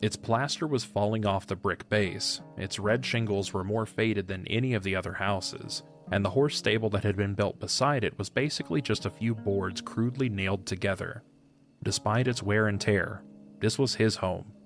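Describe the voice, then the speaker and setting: A man narrates a fantasy audio play from a script, in a storybook style. His voice is fairly low, with soft music running beneath it. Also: normal speed.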